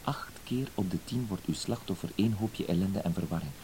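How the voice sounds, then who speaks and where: A man's voice: low-pitched, a little fast, dark tone, lightly acted. An actor playing a fussy, hesitant, apologetic blackmailer in a radio play, circling around his point with verbal tics. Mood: quietly menacing. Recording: hissy old radio play recording.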